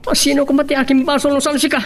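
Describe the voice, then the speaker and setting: A man's voice: very high-pitched, level tone, rapid-fire. A man with an Ilocano accent playing a bitter, regretful jailed husband in a radio drama. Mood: angry.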